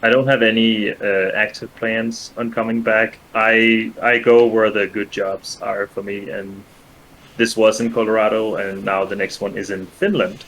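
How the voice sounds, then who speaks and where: A man's voice: fairly low pitch, level tone, unhurried, fairly bright tone, a little hiss or hum, poor audio. A young man whose first language is Danish, a guest in a casual chat, speaking with many fillers and restarts. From Denmark.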